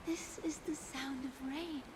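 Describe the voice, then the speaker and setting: A grieving young mother from a video game, solemn and tender. Her high voice moves slowly through prayer and blessing, at times slipping into breathy whispers, inside a long hall reverb with music behind. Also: fairly even intonation, background noise. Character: sorrowful young mother blessing her child, praying to a goddess. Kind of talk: dramatic video game acting.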